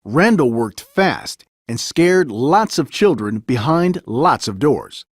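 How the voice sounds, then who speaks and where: A man reading a children's story aloud as scripted narration, with an expressive, dramatic storytelling delivery. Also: mid-range voice, slow pace, breathy edge, no echo, studio quality. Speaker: storybook reader.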